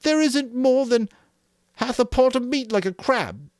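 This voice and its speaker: A man's voice: extremely high pitch, animated, unhurried, dry recording, clean studio sound, voice pushed up. A man voicing a timid, put-upon boy who speaks wetly and fussily in an audiobook reading. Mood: whiny. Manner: wetly, whiny, pleading. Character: timid, wet-voiced fat boy, fussy, put-upon.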